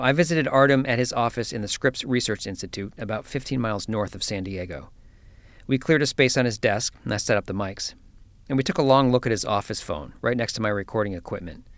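A man hosting a science podcast, telling a story in a measured, scripted way before interviewing his guest. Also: lowish voice, no echo. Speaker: podcast host. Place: office.

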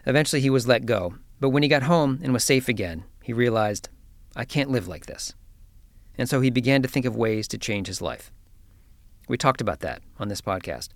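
A man hosting a science podcast, telling a story in a measured, scripted way before interviewing his guest. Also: medium pitch, expressive, no echo. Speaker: podcast host. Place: office.

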